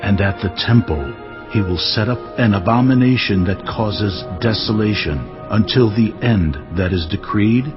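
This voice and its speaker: A man playing a solemn, authoritative angel delivering prophecy in a dramatized audio Bible, speaking in a measured, deliberate way. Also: on the low side, lightly acted. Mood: solemn, commanding. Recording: slightly dull audio, music underneath. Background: clear background music.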